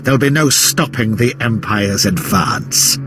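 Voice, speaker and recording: An actor playing a pompous, fawning, fussy military officer in a video game, with florid delivery. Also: male voice, on the low side, unhurried, natural voice. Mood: gushing, self-satisfied. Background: loud music.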